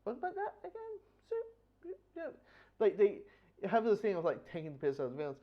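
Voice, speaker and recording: A man's voice: high voice, wildly swooping pitch, a little fast. A man giving a casual spoken comic book review, rambling and repeating phrases as he goes. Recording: dull, lo-fi audio.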